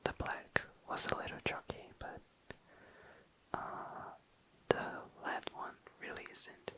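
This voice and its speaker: An ASMR host whispering in a breathy, unvoiced voice, musing casually and tentatively, close to the mic. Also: slow pace. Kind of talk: whispered ASMR, casual makeup swatching narration.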